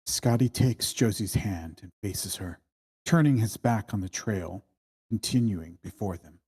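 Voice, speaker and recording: A man's voice: fairly low pitch, a little slow, darkish tone, breathy edge. A man reading out scene directions as narrator at a screenplay table read.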